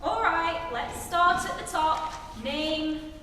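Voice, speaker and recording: An actress playing a brusque, impatient police lieutenant interrogating in an old-time radio comedy. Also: female voice, extremely high pitch, slow pace, bright, forceful tone, heavily acted. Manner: barking, impatient. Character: brusque, exasperated police lieutenant grilling a suspect. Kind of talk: 1940s radio comedy acting on stage. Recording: echoey hall, distant mic, faint noise.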